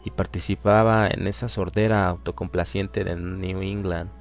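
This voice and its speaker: Male narrator, Latin American accent, very low voice, slow and grave audiobook reading. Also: steady tone, faint music behind. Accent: Latin American Spanish. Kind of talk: scripted literary narration.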